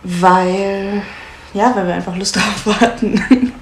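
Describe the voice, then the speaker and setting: A woman's voice: medium pitch, steady tone, slow. Woman in her twenties, from Munich, chatty podcast co-host, laughs easily, roomy echo, faint hiss. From Munich, Germany. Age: 20s. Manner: chatty, laughs easily, frequent filler sounds. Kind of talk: casual chat. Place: at home in Munich. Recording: echoey room with faint noise.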